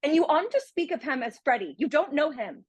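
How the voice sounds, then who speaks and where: A woman playing a prim, teasing young heiress in a radio play, pert and quick to take offence. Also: sits high, sing-song, dry recording. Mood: miffed.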